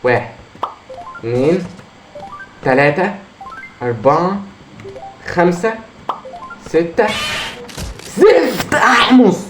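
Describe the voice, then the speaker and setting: A hoarse man with an Egyptian Arabic accent, commenting on his own gameplay, muttering encouragement to himself and breaking into frustrated outbursts. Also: high voice, animated, takes it slowly, long pauses, soft background music. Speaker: gamer. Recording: echoey room with faint noise.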